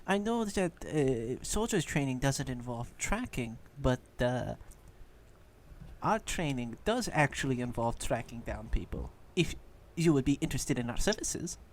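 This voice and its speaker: A podcast player voicing a flamboyant, sly, self-assured adventurer who talks in an ingratiating, halting way. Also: mid-range voice, sing-song, slight background noise, voice pushed down. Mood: sly, persuasive.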